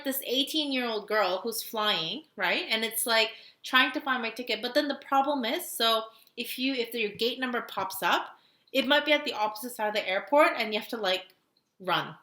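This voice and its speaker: A woman's voice: fairly high pitch, sharp tone, echoey room. A young woman from Korea telling stories in a casual podcast chat, full of "like" fillers and laughter. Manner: chatty storytelling with frequent laughs and "like" fillers. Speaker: podcast co-host.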